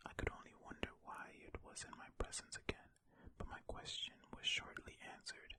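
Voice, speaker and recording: An ASMR host whispering ear to ear in a tentative, musing way, reading and commenting close to the microphone. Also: brisk. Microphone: close stereo ASMR microphone.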